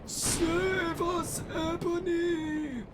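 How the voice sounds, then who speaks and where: A man voicing melodramatic storybook wizards, declaiming theatrically with drawn-out words. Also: very high voice, level tone, heavy background noise, pitched above the performer's own voice, heavily acted. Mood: desperate pleading. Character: shrill, melodramatic storybook wizards in a fan fiction. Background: music.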